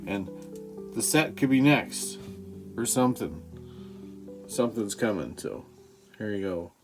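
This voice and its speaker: A man chatting casually in a halting, rambling, profane way. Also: fairly low pitch, expressive. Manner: halting, profane, frequent throat-clearing. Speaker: amateur stand-up comedian. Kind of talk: rambling casual vlog chat. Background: guitar music.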